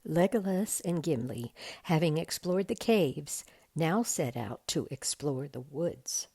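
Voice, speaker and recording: A woman reading a bedtime story aloud in a measured, deliberate way. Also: deep voice, animated, mellow, husky. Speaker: volunteer storybook reader.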